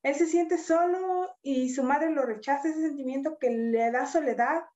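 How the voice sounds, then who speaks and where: A woman's voice: high-pitched, normal speed, dark tone. A young woman giving earnest, reflective interview answers. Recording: dull lo-fi sound.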